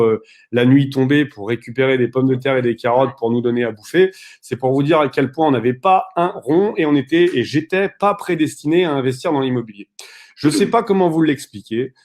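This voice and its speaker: A man in his thirties co-hosting an online conference, telling his story in a direct, animated way with frequent fillers. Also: medium pitch. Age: 30s. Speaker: real estate investor co-hosting a webinar.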